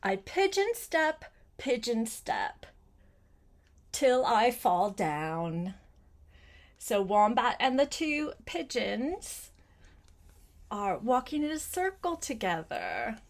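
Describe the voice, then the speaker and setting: Older woman, grandmother reading a storybook, fairly high pitch, lively intonation, gentle child-directed phrasing. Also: slow, long pauses. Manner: gentle, simple child-directed talk with questions and short phrases. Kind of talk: read-aloud picture book storytelling with asides to a young child.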